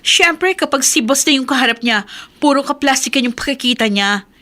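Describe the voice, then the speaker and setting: A snide, bossy office colleague in a radio drama. Her voice is fairly high and piercingly bright, with a scornful, nagging delivery. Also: normal speed. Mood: scheming. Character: snide, envious, bossy female office co-worker. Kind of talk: Tagalog radio drama acting.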